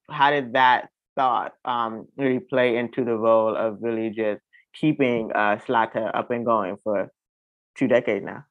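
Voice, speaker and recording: A man's voice: mid-range voice, dry recording. A man from South Florida hosting a podcast in a conversational style, on a narrow phone-like recording. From South Florida, United States. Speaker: podcast host. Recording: dry, narrow phone-like sound.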